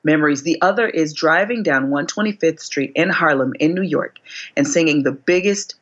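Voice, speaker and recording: Expressive woman in her forties telling stories. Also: moderate pace, lo-fi sound. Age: 40s. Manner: expressive, voice climbs high when retelling moments. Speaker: guest singer. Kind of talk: interview storytelling.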